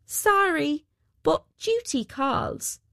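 A woman's voice: on the high side, lively intonation, takes it slowly, dry recording, clean studio sound. A woman voicing a boastful male weaver who fancies himself a knight, speaking in grand storybook declarations. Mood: breezy, defiant. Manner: grand, cheerfully self-important declarations. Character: boastful male weaver turned self-styled wandering knight. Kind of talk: storybook character voice.

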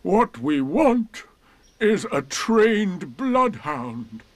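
A man voicing a gloomy, melancholy toy donkey in a storybook, with mournful, drawn-out, resigned speech. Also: sits high, big pitch swings, dry recording, pitched above the performer's own voice, heavily acted. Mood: flat gloom.

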